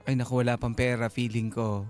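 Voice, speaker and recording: A man's voice: medium pitch, flat delivery, brisk, a little mellow. A man co-hosting a radio advice show with casual, playful joking and teasing interjections. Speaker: radio co-host. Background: faint music.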